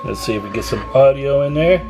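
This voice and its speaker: A man's voice: mid-range voice, animated, fairly quick, heavy background noise. An American man giving a casual, folksy hands-on demonstration as a video host, chatting to viewers as he works. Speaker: radio repair hobbyist and video host.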